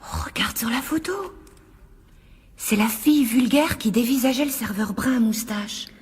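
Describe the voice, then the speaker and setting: A woman's voice: on the high side, moderate pace, darkish tone, lightly acted. An actress playing a timid, scatterbrained woman of twenty-two in a radio mystery drama, with an anxious, pleading, girlish manner. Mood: dismayed. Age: young adult.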